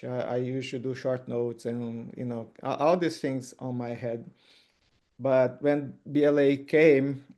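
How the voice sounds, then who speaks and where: Mellow middle-aged man, native Portuguese speaker. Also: mid-range voice. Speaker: author giving a testimony. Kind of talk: informal personal testimony.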